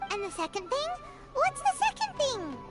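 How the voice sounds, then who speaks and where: A tiny chirpy fairy companion, eager and bouncy, with a very high childlike voice that leaps up and down in pitch. Game dialogue, crisp and studio clean. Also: female voice, wildly swooping pitch, moderate pace, loud music behind the voice, full character voice. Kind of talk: game voice acting.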